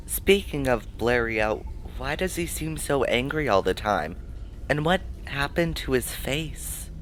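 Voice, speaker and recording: An actor playing a timid, deferential young research assistant in an audio drama, hesitant and politely inquisitive. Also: male voice, mid-range voice, lively intonation, unhurried, a bit bright, a little hiss or hum.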